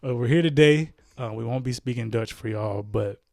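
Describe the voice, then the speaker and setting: A man's voice: medium pitch, normal speed, gravelly, a little mellow, no room sound, clean studio sound. A man hosting a podcast interview, laid-back and chatty, with lots of fillers and tag questions. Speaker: podcast host. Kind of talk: casual interview chat.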